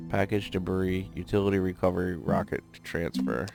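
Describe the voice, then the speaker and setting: A man giving casual let's-play commentary, thinking aloud and rambling with fillers as he goes, his voice going a bit hoarse. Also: sits low, normal speed, over loud music. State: losing his voice. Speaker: gameplay commentator.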